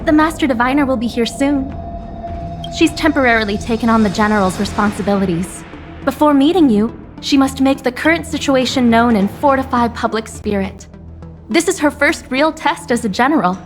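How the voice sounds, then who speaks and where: High, polite actress as young woman attendant. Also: animated, a little fast, faint music behind, close to an everyday voice. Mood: polite, concerned. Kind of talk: scripted game dialogue.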